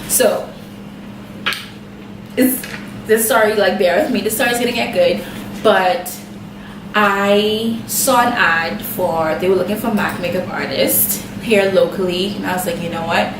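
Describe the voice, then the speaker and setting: A young woman with a Bahamian accent tells a personal story to camera in a chatty, animated way, full of asides and quoted back-and-forth. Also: mid-range voice, unhurried, recorded from a distance. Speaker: makeup artist vlogger. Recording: echoey room, off-mic, steady background noise.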